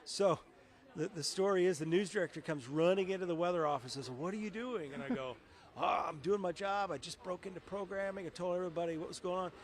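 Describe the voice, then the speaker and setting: Higher-voiced middle-aged man telling stories. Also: quick pace. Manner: conversational, anecdotal. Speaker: television meteorologist being interviewed. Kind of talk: casual on-location interview, telling stories.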